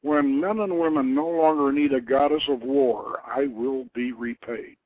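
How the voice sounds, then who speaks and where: A man's voice: mid-range voice, fairly even intonation, measured pace, dry recording, natural voice. A grave old priest-king of a storybook legend, voiced by a male reader, giving weighty, plain-spoken counsel with earthy humor and old-fashioned oaths. The sound is narrow and lo-fi, like a phone call on the radio. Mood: solemn.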